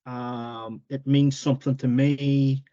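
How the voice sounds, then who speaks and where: Relaxed man on dull lo-fi call audio. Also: mid-range voice, takes it slowly, dry recording. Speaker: author being interviewed. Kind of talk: casual interview chat.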